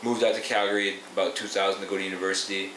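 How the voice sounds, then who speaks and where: Quick-talking young man in an echoey room. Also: deep voice, level tone, a bit bright. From Canada. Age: 20s. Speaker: rapper interviewee. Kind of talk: casual interview talk. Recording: echoey room, faint noise.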